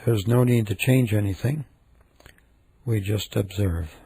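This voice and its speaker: A man leading a guided meditation in a gentle, calm, unhurried manner with frequent pauses. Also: on the low side, husky, no echo.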